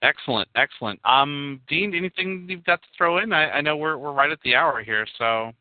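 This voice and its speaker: A man's voice: on the high side, fairly quick, no echo. A man hosting an online talk show, asking long rambling questions with many repeated words. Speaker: show host. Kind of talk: online radio interview. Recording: tinny phone line, dry.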